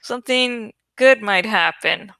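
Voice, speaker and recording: A woman's voice: a little high, measured pace, sharp tone, clean tone, no room sound. A woman host talking casually to her viewers, rambling in an irreverent, sweary, giggly way. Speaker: host of a reading channel.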